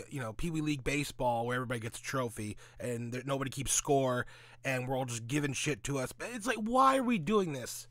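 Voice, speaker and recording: Animated, blunt man ranting casually. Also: mid-range voice, dry recording. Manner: blunt, sweary, sarcastic, asks and answers own questions. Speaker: host of a gaming commentary audio log. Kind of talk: casual opinionated commentary, rant.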